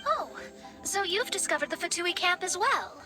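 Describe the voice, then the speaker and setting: Very high, self-assured girlish astrologer. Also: expressive, normal speed, music in the background. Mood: confident. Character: self-assured young female genius astrologist, haughty, quick-witted. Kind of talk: English video game voice acting.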